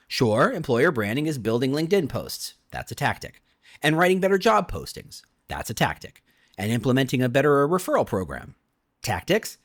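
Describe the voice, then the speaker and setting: A man reading his own business book as scripted narration, with an emphatic, conversational delivery and dramatic pauses. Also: fairly high pitch.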